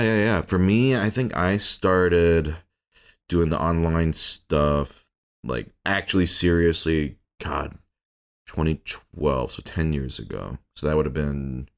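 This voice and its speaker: A man's voice: a bass voice, takes it slowly. A man in his thirties hosting a casual podcast chat, laid-back, with frequent agreeing murmurs and the occasional swear word. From United States. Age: 30s. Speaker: podcast host.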